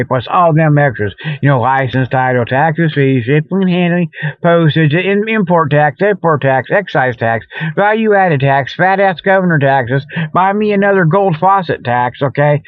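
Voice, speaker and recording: A man hosting a comedy radio show, ad-libbing a mock sales pitch in folksy, repetitive huckster patter. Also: lively intonation, normal speed, no room sound, narrow, tinny audio. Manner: folksy huckster patter, repetitive, chuckles often at own jokes. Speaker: host of a comedic radio report.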